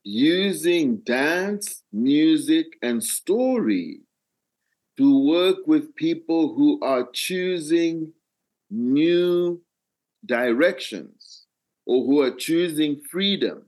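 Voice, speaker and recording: An older man with a Malawian accent, telling his own story as an interview guest in a deliberate, phrase-by-phrase way. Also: fairly high pitch, lively intonation, darkish tone. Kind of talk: reflective personal storytelling in an interview.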